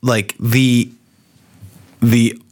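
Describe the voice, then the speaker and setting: A young man telling profane, animated stories in a casual podcast chat. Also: medium pitch, very slow. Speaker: podcast co-host.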